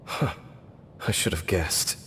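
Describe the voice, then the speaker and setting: A man playing a brooding, world-weary knight in an anime video game, speaking in grave, measured, formal phrases with a knowing aloofness. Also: heavy background noise, natural voice. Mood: grave, pensive. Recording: long reverb, game music behind.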